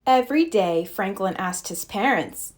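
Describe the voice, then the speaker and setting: A woman narrating a children's picture book aloud, gentle and expressive. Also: medium pitch, measured pace. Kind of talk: read-aloud storybook narration.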